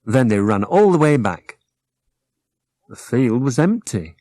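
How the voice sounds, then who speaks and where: A man's voice: mid-range voice, big pitch swings, takes it slowly, dark tone, dry recording. A man narrating a children's audiobook from a script, expressively, as a storyteller who acts out the dialogue. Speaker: storyteller, author reading own book.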